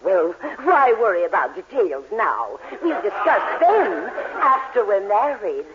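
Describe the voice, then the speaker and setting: An actress playing a gushing, romantically determined spinster in a 1940s radio comedy, with a dramatic manner. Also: female voice, medium pitch, very animated, a little slow. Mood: smitten. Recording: muffled, hissy vintage radio recording.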